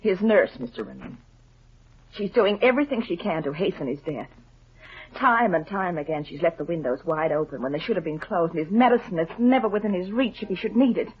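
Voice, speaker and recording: A woman's voice: lowish voice, expressive, brisk, slight background noise, lightly acted. An actress playing an anxious, suspicious, well-mannered married woman in a dramatic 1950s radio melodrama style. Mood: worried, confiding. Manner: dramatic, pleading, indignant when crossed. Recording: dull, lo-fi vintage 1950s radio recording.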